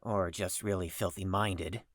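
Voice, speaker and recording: A woman's voice: very deep voice, steady tone, a little slow, no echo, clean studio sound. A woman playing a prim, wordy, perplexed patient in an audio drama, with formal, precise diction. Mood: dry, arch.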